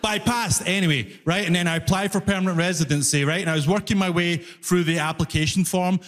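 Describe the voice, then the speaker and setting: Male stand-up comedian, Glaswegian accent, high voice, reverberant live venue recording. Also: fast talker, a bit bright, slight background noise. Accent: Glaswegian Scottish. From Glasgow, Scotland. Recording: live stage with long reverb.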